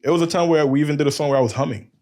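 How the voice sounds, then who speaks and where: A man with a deep voice and a lisp, telling loose stories on a casual podcast and acting out quoted lines. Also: rapid-fire, mellow. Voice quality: says he has a lisp. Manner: loose storytelling, acts out quotes, laughs often. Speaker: podcast cohost.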